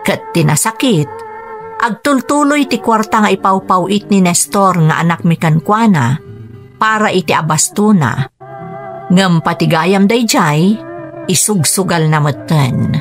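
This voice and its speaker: A woman's voice: fairly low pitch, lively intonation, measured pace. An actress playing a long-suffering, middle-aged rural wife and mother in a radio drama, with an Ilocano accent. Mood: sorrowful. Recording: music under the voice.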